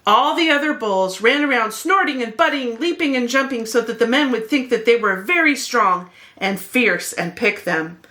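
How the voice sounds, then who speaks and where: A woman's voice: on the high side, lively intonation, normal speed, hardly any pauses, pushed and bright. A woman narrating a children's picture book expressively, as a read-aloud host speaking to young listeners.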